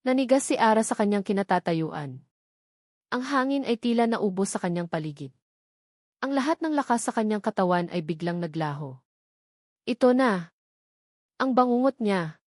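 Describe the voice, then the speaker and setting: A woman's voice: medium pitch, animated, moderate pace, pauses often, darkish tone, no room sound, clean studio sound. A woman narrating a scripted dramatic story in a solemn, melodramatic way.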